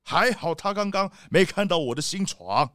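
A man's voice: high-pitched, lively intonation, normal speed, heavy background noise. A man playing a boastful, irritable rich leopard boss in a children's audio drama, brusque and dismissive. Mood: grumbling.

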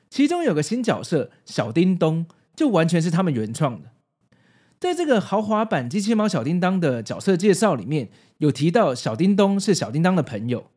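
A man's voice: fairly high pitch, a little mellow. A man with a Taiwanese Mandarin accent hosting a scripted, nostalgic retrospective in an explanatory, conversational way. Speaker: host of a retro pop-culture video series.

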